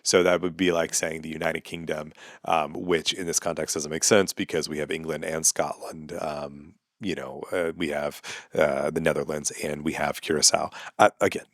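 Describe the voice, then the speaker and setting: A man's voice: a bass voice, level tone, normal speed, dry recording, clean studio sound. A man, a comedian and podcast host ranting sarcastically and with exasperation in a casual monologue.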